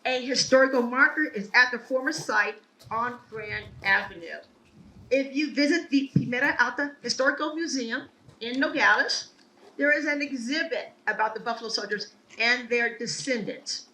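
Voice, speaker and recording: A woman giving a prepared speech at a ceremony, telling her family's history in a measured, earnest way. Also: a little high, pushed and bright, distant mic, rough recording. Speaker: ceremony speaker. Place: outdoor ceremony.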